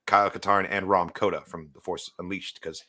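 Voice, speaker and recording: A man's voice: sits low, flat delivery, brisk, pushed and bright. A man from New Zealand with a New Zealand accent, hosting a casual podcast chat with enthusiasm and frequent laughs. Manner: enthusiastic, laughs often, fillers. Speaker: podcast host.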